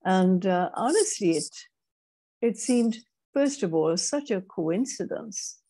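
An older woman from Grenada with a British-Caribbean accent, telling her life story in a measured, thoughtful way with frequent restarts, on a video call through earbuds. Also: mid-range voice, expressive, dark tone. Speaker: guest speaker. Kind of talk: reflective interview storytelling. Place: home room, indoors. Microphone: wired earbuds on a video call.

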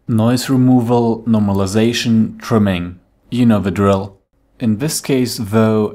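A man narrating a step-by-step software tutorial in a dry, matter-of-fact way. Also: lowish voice.

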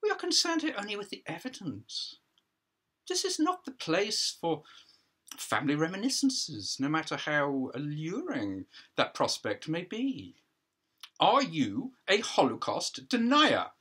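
A man's voice: a little high, huge pitch swings, measured pace, sharp tone, husky, full character voice. An older man voicing a pompous prosecuting counsel in a stage play, with formal, sarcastic, insistent questioning. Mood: cutting. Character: pompous, needling male prosecuting counsel at disciplinary hearing.

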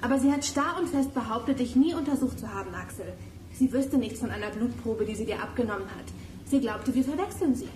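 Woman doing reasoning girl sleuth in audio drama, highish voice, echoey and noisy. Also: animated, brisk, a little mellow, close to an everyday voice. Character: sensible, reasoning girl detective. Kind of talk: youth audio drama acting.

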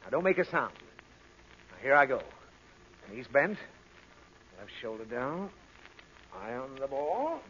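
A man's voice: medium pitch, sing-song, a little slow, pauses often, a bit bright. A man playing a fussy, flustered, exasperated father in a 1930s radio comedy. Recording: dull, noisy 1930s radio recording.

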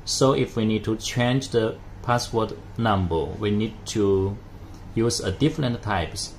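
A man narrating a hands-on product tutorial in a halting, step-by-step way. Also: fairly low pitch. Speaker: product demonstrator. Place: indoors at a desk with devices. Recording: noticeable background noise.

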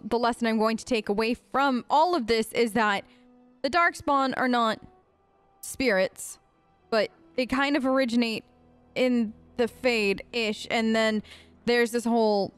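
A woman's voice: fairly high pitch, smooth, no echo. A woman hosting a gaming video, improvising casual role-play in a chatty, rambling, playful and informal way. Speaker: gaming video host, role-playing her character.

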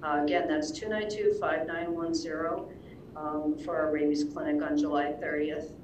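Woman, public health official, halting delivery, roomy echo, faint hiss. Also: sits low, little pitch movement. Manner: halting, many ums and uhs. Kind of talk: reading public announcements. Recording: echoey room, heard from far off.

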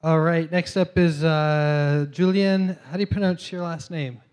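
A man's voice: on the high side, steady tone, unhurried, a little mellow, clean tone. A man hosting a startup demo night, introducing the next presenter in an upbeat way. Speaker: event host. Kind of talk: emcee introductions.

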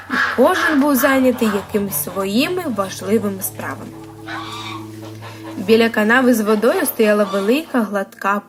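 A woman with a slightly high voice narrates a children's bedtime story. She reads as a storyteller, with music playing behind her.

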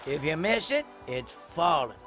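An actor voicing a goofy, boastful cartoon tow truck with a folksy drawl and a Southern US accent. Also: male voice, a little high, big pitch swings, measured pace, over music. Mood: proud, excited. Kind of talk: animated cartoon storytelling.

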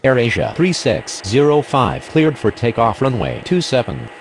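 A man playing a polite air traffic radio voice in a game, using measured, procedural scripted phraseology. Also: medium pitch, very animated, vocal fry.

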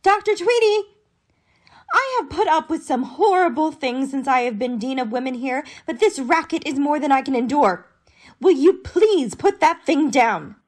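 A stern dean of women played by a woman in a radio comedy. She speaks in a high voice with prim, formal, exasperated manners. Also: expressive, moderate pace. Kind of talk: old-time radio comedy acting.

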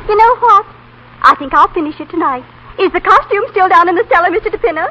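A cheerful, scatterbrained mother in a 1930s radio comedy. Her voice is very high and bright, chirpy in manner. The recording is muffled. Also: quick pace, slight background noise. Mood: cheerful, airy.

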